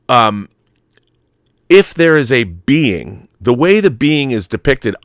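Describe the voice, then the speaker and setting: Low-voiced, animated American man talking off the cuff. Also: no room sound, studio quality. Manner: animated, digressive, emphatic, swears casually. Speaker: talk show host. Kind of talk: casual, rambling opinionated live chat answering viewer messages.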